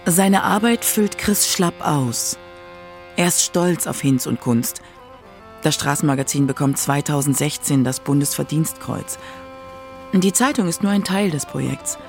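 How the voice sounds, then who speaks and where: A woman's voice: deep voice, expressive, measured pace, a little mellow, soft background music. A woman narrating a scripted radio documentary in a factual narrator's style.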